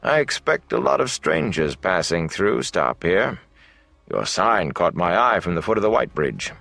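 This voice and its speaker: A man voicing a smooth, shrewd traveling storyteller with a measured, theatrical delivery in a fantasy audiobook. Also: low-pitched, sing-song, a bit bright, no room sound, full character voice. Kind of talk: fantasy audiobook character dialogue.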